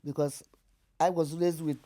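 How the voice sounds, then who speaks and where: An older Nigerian woman recounts her past as an interview guest. Her voice is mellow and warm, and she tells even hard memories in an animated way, laughing as she goes. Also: low voice, moderate pace. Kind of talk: recounting her life story.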